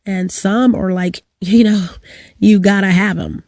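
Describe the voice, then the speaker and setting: Hoarse woman, middle-aged, rambling to-camera vlog, dry close sound. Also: medium pitch, fairly even intonation, a little slow, darkish tone, no echo. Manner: rambling, many fillers and restarts. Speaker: vlogger. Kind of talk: casual to-camera vlog with announcements.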